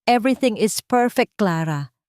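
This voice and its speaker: Audio-story role: a woman as a wealthy Filipino patriarch, cold, smug, authoritative, lively intonation. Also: mid-range voice, moderate pace, no echo, studio quality, pitched above the performer's own voice, close to an everyday voice. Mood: smooth assurance. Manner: commanding, smug. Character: proud, authoritative wealthy father, cold and approving.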